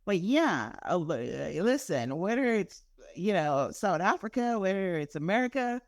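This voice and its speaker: Woman from Guyana, podcast host; animated, chatty, quick to laugh, recorded dry and close. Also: lowish voice, no echo. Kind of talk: podcast interview.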